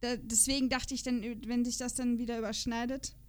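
High-voiced woman, chatty podcast host. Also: level tone, brisk, clean tone. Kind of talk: casual podcast chat with read-out notes.

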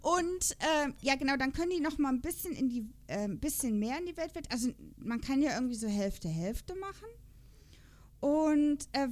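Female podcast host, high-ish voice, informal conversational delivery, unscripted chat. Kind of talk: casual chat about audio drama episodes.

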